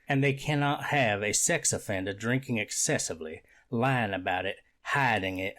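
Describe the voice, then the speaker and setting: Man acting, stern courtroom official, earnest, deliberate, medium pitch, emphatic repetition, readers theater hearing. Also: fairly bright tone.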